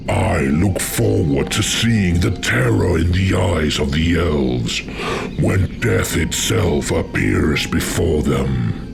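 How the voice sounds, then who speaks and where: Sinister orc warlock, very slow, heavily creaky, gloating, mockingly polite, game villain, music playing loudly behind. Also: male voice, deep voice, full character voice. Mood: dark relish. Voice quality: gravelly. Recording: loud music under the voice.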